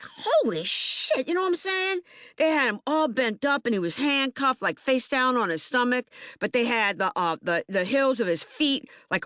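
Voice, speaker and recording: A woman's voice: a little high, fairly bright tone, no echo, studio quality, pitched above the performer's own voice. A woman performing a theater monologue as an agitated, streetwise young man, speaking emphatically and colloquially with stammered repetitions. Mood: agitated, vivid. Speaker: actor in documentary theater. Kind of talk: dramatic monologue, audiobook theater.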